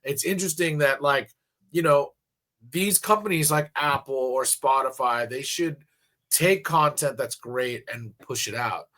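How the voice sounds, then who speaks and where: A male radio host who talks in long, loose runs, padding his thoughts with fillers and restarting sentences. His pitch sits in an ordinary middle range, neither high nor low. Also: a little slow, pushed and bright. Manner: rambling, many fillers and restarts. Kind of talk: casual interview chat.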